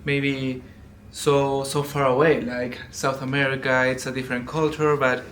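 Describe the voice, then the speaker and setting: Flat-voiced man from Chile. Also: mid-range voice, measured pace, a little hiss or hum. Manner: searching for words, hesitant. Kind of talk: casual discussion.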